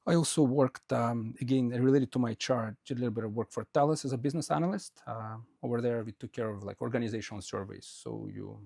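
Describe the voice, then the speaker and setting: A man's voice: medium pitch, fast talker, creaky, few pauses, warm tone, dry recording, studio quality. A Russian-born man, a native Russian speaker, answering interview questions about his life in a rambling way with many fillers and restarts. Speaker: podcast guest. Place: Quebec, Canada.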